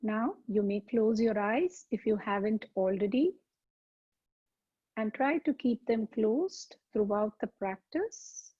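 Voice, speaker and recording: A woman teaching yoga as a slow guided meditation, speaking calmly and deliberately with soothing pauses. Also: mid-range voice, slightly rough. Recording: dull, muffled lo-fi sound.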